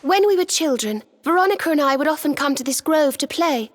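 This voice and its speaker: A woman voicing a kind, polite young female healer in a video game, speaking softly with courteous, slightly old-fashioned phrasing. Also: high-pitched, expressive, brisk. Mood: eager. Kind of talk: video game cutscene dialogue. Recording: game score playing underneath.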